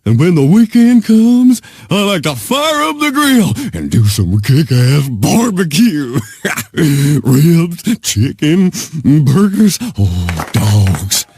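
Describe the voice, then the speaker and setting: An aggrieved factory worker in a game cutscene. His voice sits high and husky for a man. He drags out his complaints in a whiny, exclamatory way. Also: very animated, takes it slowly, a little mellow, faint music behind, heavily acted. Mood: starstruck, dopey. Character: aggrieved blue-collar pickle factory worker, talk show guest.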